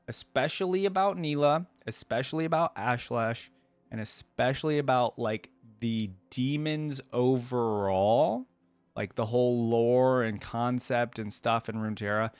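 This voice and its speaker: A man's voice: medium pitch, dry recording. A man hosting a casual reaction video, thinking aloud in an informal, rambling way. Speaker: YouTube reaction host.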